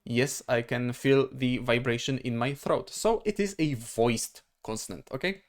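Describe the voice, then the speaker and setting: A man with a Polish accent, a native speaker of Polish, teaches in an informal lesson style. His voice sits at an average male pitch. He moves through explanations methodically and haltingly, often checking himself with brief questions. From Poland. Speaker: language teacher.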